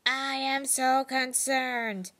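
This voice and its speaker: A woman's voice: sits high, little pitch movement, very slow, pushed and bright, full character voice. A woman voicing a timid, worried teenage girl in a cartoon parody, given to dry asides. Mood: deadpan concern. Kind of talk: anime parody fan dub.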